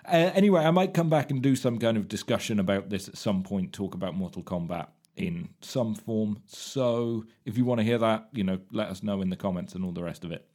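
Low-voiced man presenting a podcast. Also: a little fast, hardly any pauses, darkish tone. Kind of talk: casual talk to listeners.

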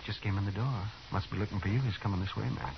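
An American actor playing a garrulous, folksy older town doctor in a 1950s radio Western, excitable and rambling. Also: male voice, lowish voice, fast, creaky. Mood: wary. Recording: muffled, hissy 1950s radio recording.

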